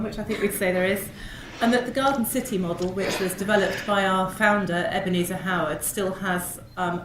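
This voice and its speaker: Woman, hoarse, cold, conference talk, echoey hall, background noise. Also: mid-range voice. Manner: measured, informative, frequent ums. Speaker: panel speaker. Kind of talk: conference presentation.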